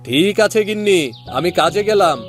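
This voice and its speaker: Man playing a higher-voiced humble village husband. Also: moderate pace, natural voice. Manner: earnest, affectionate, familiar. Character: kind, humble, honest young village husband. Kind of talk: cartoon fairy-tale dialogue. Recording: faint music behind.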